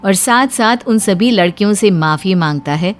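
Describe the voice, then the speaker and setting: A woman's voice: mid-range voice, expressive, moderate pace. A woman reading a scripted story in a dramatic storytelling style. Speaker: story narrator. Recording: music bed under the voice. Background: background music.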